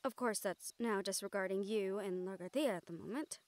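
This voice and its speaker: A woman playing an excitable, caring young mage in an intimate audio roleplay, with animated, halting delivery. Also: mid-range voice, dry recording, lightly acted. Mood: tired, thoughtful. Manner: animated, halting, swearing freely.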